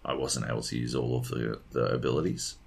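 Aussie guy with a really low husky voice, rambly sweary gaming podcast chat. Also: little pitch movement, quick pace. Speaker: podcast co-host reviewing video games. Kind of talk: casual unscripted chat.

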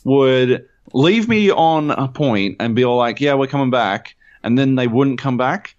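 A man's voice: mid-range voice, unhurried, lo-fi sound. A man with an Australian accent, co-hosting a podcast and telling a long, swear-filled story with lots of "uh" and "like". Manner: animated, profane storytelling with many fillers. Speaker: podcast co-host. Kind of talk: casual podcast chat.

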